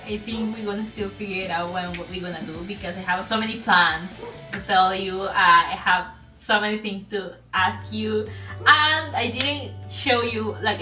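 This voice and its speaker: A woman vlogger with a strong non-native English accent, talking casually to camera, rambling with many fillers and restarts and laughing often. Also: medium pitch. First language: not English. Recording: echoey room, voice a bit far from mic, faint music.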